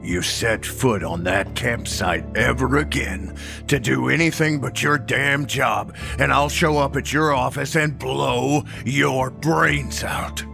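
An actor playing an old small-town sheriff in a horror audio drama, matter-of-fact, folksy and quietly threatening. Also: male voice, mid-range voice, slow, gravelly, music in the background. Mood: cold threat.

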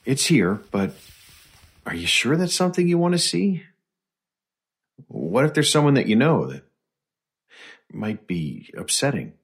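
A man's voice: mid-range voice, very animated, measured pace, long pauses, breathy edge, exaggerated voice. A man playing an earnest government researcher in an audio drama, hesitant, fumbling and apologetic in a natural style. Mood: cautious.

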